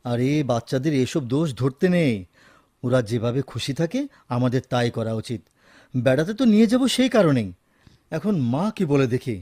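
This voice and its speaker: An actor as a soft-hearted family man in an audio drama, respectful and a little hesitant, with a Bangladeshi Bengali accent. His mid-pitched voice is recorded close and dry. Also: lively intonation, moderate pace, no room sound, close to an everyday voice. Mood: affectionate, easygoing. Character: gentle, dutiful grown son and indulgent young father.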